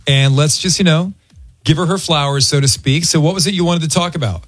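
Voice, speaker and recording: A man's voice: on the high side, fairly quick, no echo, faint music behind. A man hosting a radio show, chatting casually and teasing playfully into a close studio microphone. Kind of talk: casual on-air chat, teasing banter.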